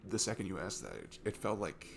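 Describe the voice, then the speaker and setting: Casting: male actor for a sweet, bashful young man, lowish and gravelly, hesitant and stammering, intimate audio roleplay with music underneath. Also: very animated, a little fast, background noise. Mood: flustered, sweet. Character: shy, sweet, nervous young man at a bar. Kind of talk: ASMR roleplay audio, intimate one-sided conversation. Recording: music behind the voice.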